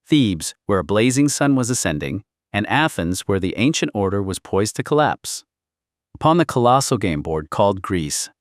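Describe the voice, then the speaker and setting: A man's voice: lowish voice, dry recording, clean studio sound. A man narrating historical fiction as an audiobook, with a solemn, literary, dramatic scripted delivery.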